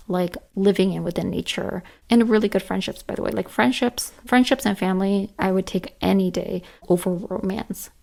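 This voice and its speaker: Creaky, hoarse woman chatting casually. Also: mid-range voice, darkish tone. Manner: earnest, emphatic, repeats words. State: hoarse, tired voice. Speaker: book reviewer, channel host. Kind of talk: casual list-style book and manga chat.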